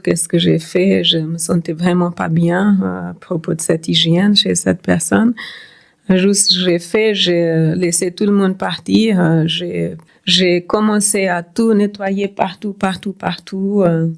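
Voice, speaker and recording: A middle-aged woman from Cape Verde, a native Creole speaker, shares her personal story as a podcast guest. Her voice sits on the low side. She often repeats words and short phrases to stress a point. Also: creaky. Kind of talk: personal testimony in conversation.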